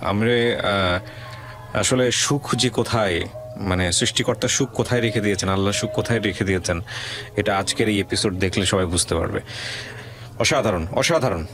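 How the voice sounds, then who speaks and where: A man with a Bangladeshi accent hosting a radio interview, asking questions and making reflective, emphatic remarks in a deliberate way. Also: lowish voice, a bit bright, faint music behind. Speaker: radio show host and interviewer.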